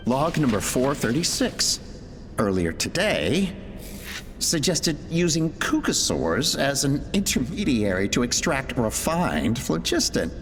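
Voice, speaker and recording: Slow, echoing, grave researcher. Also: male voice, on the high side, full character voice. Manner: deliberate, grave. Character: solemn, ruthless male researcher dictating experiment logs. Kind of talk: dramatic log narration in a video game. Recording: long reverberant hall, faint noise.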